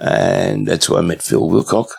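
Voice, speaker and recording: A man in his seventies with an Australian accent, a guest telling stories in a relaxed, rambling way with many fillers. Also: low voice, moderate pace, vocal fry, husky. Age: 70s. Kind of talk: casual storytelling interview.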